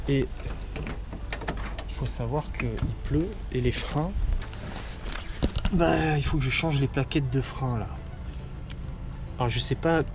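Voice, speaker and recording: A man's voice: medium pitch, noisy background. A man from southwestern France chatting casually to camera as a vlogger, informal and slangy with plenty of swearing. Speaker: car vlogger.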